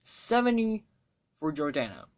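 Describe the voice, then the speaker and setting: Slow-talking teen guy from Hong Kong, profane and rambling. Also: fairly high pitch, wildly swooping pitch. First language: Cantonese. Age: teens. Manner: rambling, swears freely, self-mocking asides. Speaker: student vlogger. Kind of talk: casual talk to camera.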